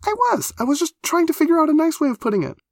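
A man voicing an excitable, nervous young female creature in an audiobook, gushing, flustered and breathless as the words tumble out. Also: mid-range voice, fairly quick, no echo, studio quality, pitched above the performer's own voice, natural voice. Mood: horrified, flustered. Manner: gushing, flustered, stammering, emphatic. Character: excitable, nervous teenage girl creature with barbed-wire hair.

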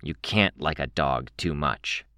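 A man's voice: a bass voice, unhurried, bright, forceful tone, no room sound, clean studio sound, full character voice. A man voicing a blunt, stern but caring woman dog trainer in an audiobook, terse and commanding. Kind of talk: audiobook character voice.

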